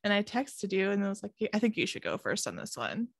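A woman's voice: medium pitch, wildly swooping pitch, brisk, gravelly, no echo, clean studio sound. A woman co-hosting a podcast, bubbly and giggly, rambling in casual chat. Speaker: podcast co-host.

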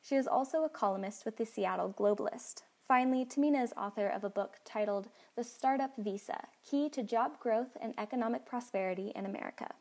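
A woman hosting a podcast, reading a guest's bio in a clear, measured way before asking interview questions. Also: on the high side, a little mellow. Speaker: podcast host. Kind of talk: read introduction and interview questions.

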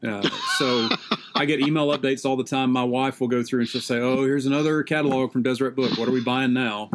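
A man's voice: medium pitch, fairly even intonation, a little fast, slight background noise. A man from South Carolina with a Southern accent, telling stories in casual conversation as a seminary professor on a video call. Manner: chatty storyteller, acts out quoted exchanges.

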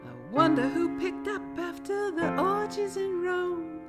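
A woman's voice: very high-pitched, unhurried. A woman singer performing songs for a theatre piece. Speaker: singer-songwriter. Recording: loud piano accompaniment. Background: piano music.

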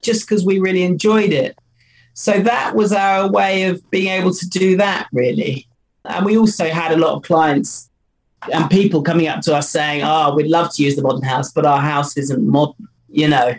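A man's voice: fairly high pitch, steady tone, moderate pace, rough recording. A man, co-founder of a company, answering interview questions in an enthusiastic, loose way with many fillers.

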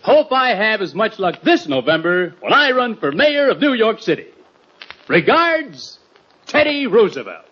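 A folksy speechifying sheriff. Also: male voice, high-pitched, very animated, a little slow, slight background noise. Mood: rousing. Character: folksy newly elected male town sheriff. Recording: dull old radio recording.

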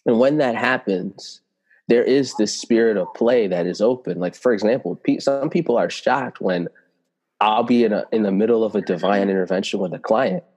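A man with a low, mellow voice whose first language is Italian. He speaks as a spiritual teacher, telling his stories earnestly and reflectively, and he stretches out the words he wants to stress. The sound has a long, echoing reverb, as if recorded in a hall. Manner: reflective, flowing, slows to stress key words. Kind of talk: earnest personal storytelling.

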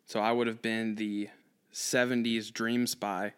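A man's voice: on the low side, flat delivery, unhurried, a bit bright, smooth. A man from Texas, raised mostly in Eastern Europe, chatting casually and jokingly as a podcast guest. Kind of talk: casual anecdotal chat.

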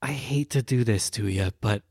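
A man's voice: mid-range voice, moderate pace, a little mellow, no echo, studio quality. A man co-hosting a comedy podcast, answering his friends with wry, understated replies. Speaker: podcast co-host. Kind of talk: casual comedic banter.

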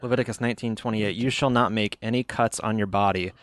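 A man co-hosting a casual chat, chiming in quickly and reading verses aloud. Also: lowish voice, fairly even intonation, no echo, studio quality. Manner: quick interjections, chimes in to help.

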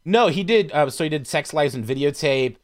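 A man talking casually as a podcast panelist. His pitch swings widely as he rambles through stories in loose, profane language, giving the chat an animated feel. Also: brisk. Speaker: podcast panelist discussing films.

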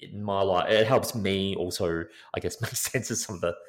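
A man's voice: low-pitched, moderate pace. A man hosting a podcast, rambling and friendly in a casual interview. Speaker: podcast host.

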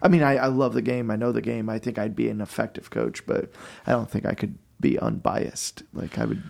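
A man with a booming voice hosting a casual chat, asking questions in a jovial, animated way. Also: brisk, creaky. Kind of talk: casual conversation.

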